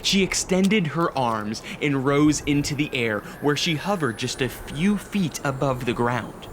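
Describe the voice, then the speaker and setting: A man narrating a scripted audio drama in a measured way with dramatic pauses. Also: mid-range voice, animated. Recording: noticeable background noise.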